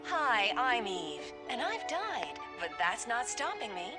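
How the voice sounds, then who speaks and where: A polished, cheerful ad spokeswoman speaks with a lively, sing-song pitch. Her voice sits somewhat high. Loud music plays under her in this video game commercial. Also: takes it slowly. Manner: bright, sing-song advertising delivery. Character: upbeat woman cyborg ad spokesperson, polished and cheerful.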